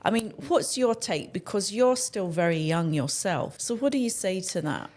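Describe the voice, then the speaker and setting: A woman's voice: mid-range voice, expressive, moderate pace, darkish tone. Woman hosting a conversational interview. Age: middle-aged. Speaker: show host.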